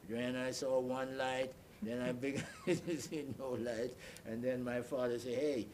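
An elderly man recalls his life in an interview, speaking as a deliberate, halting storyteller who repeats and explains. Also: medium pitch, level tone, a little mellow, slight background noise. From Bahamas. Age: 80s or older. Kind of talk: oral history recollection.

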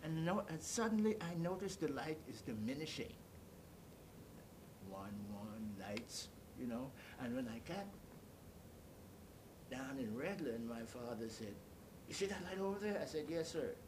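Older male interviewee, halting storyteller, mid-pitched voice, noticeable rumbling noise on the recording. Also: expressive. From Bahamas. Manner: storytelling, halting, searching for words. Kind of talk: oral history reminiscence. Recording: clearly noisy, low rumble behind.

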